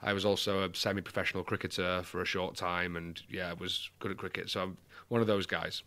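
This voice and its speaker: Mancunian guy, low bright voice, smooth and crisp, candid guest on a video call. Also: little pitch movement, brisk. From England. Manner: candid, self-deprecating, casual swearing. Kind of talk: conversational interview.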